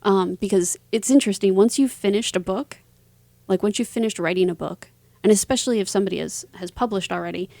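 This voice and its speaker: A woman's voice: medium pitch, quick pace, creaky, mellow, no echo. A woman speaking as an interview guest, answering in a casual, chatty, conversational way. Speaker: interview guest, author and publisher.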